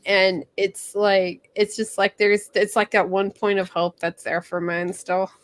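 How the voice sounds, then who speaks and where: A woman with a mid-pitched voice talks casually as a discussion host. Her delivery is chatty and informal, peppered with "like". The sound is dry, with hardly any room around it. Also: little pitch movement, a little slow, no room sound. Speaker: book club livestream host.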